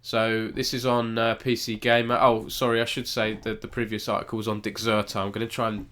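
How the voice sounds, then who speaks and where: A man with a lowish voice and a stuffy, nasal tone from a cold. He hosts a relaxed live-stream news roundup, rambling, correcting himself and chatting with viewers as he goes. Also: fairly quick. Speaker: esports news host.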